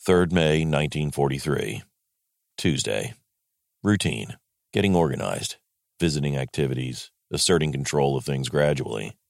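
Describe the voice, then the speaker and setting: Very deep gravelly American general played by a man. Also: animated, moderate pace, no echo, clean studio sound, heavily acted. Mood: terse, composed. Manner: clipped, matter-of-fact telegraphic delivery. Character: male US Army general writing a terse wartime diary. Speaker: actor reading a wartime diary. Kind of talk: dramatic diary reading.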